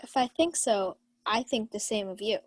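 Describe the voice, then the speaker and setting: A woman's voice: mid-range voice, normal speed, husky, lightly acted. A woman playing a proud, lovestruck young lady of the house in a Shakespeare radio play, formal and declamatory. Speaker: student actor.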